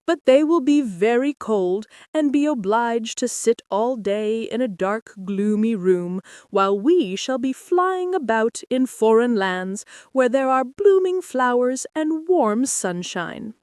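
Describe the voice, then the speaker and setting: A woman's voice: on the high side, lively intonation, takes it slowly, few pauses, a little mellow, no echo, clean studio sound. A woman voicing a patient, firm mother stork in a storybook, instructing and reassuring her young.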